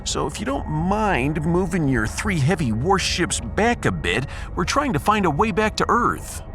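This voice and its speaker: A man's voice: fairly high pitch, very animated, a little slow, music in the background. A man playing a blunt, sardonic human starship captain in a sci-fi audio drama, with a wry, theatrical delivery.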